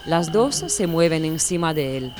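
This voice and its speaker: A woman narrating an audiobook in a calm, measured manner. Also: low-pitched, noisy background. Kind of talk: audiobook reading.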